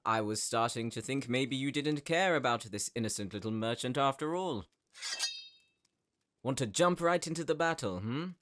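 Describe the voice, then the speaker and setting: A man's voice: medium pitch, moderate pace, a bit bright, lightly acted. A man playing a menacing noble sorcerer villain in an audio drama, speaking in a pompous, gloating, theatrical way. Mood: mocking, smug. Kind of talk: audio roleplay acting.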